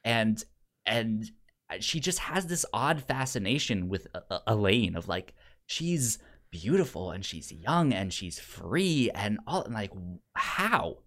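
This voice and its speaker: Podcast co-host, mid-pitched voice, frequent stutter, halting and hesitant, casual film chat. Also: sing-song, fairly bright tone. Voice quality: frequent stutter, repeating sounds and syllables.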